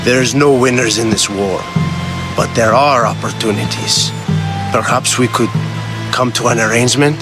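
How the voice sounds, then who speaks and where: A man voices a sly thief and spy in a game cutscene. He is cynical and defiant, speaking at a medium pitch in a sardonic way over music. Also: fairly even intonation, normal speed, close to an everyday voice. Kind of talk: video game cutscene acting. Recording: music behind the dialogue.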